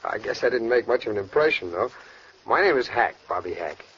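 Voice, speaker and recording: Man as a smooth young gangster boss, muffled radio. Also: medium pitch, expressive, fairly quick, a bit bright, lightly acted. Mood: smooth, polite. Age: young adult. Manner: casual slangy, turns politely ingratiating. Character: smooth, calculating young male gang leader. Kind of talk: old-time radio crime drama acting. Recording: dull, noisy vintage radio recording.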